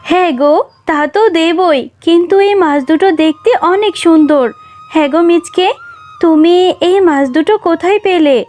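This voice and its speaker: A woman voicing a practical mother fox, a homely village wife, in an expressive children's cartoon style. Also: very high voice, normal speed, faint music behind. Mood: agreeable.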